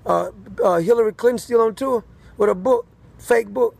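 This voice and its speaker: A man with a high voice full of heavy gravel delivers a rambling political rant. He hammers points by repeating them, and his tone is husky and rough. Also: normal speed, a little mellow, no room sound. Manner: repetitive, emphatic.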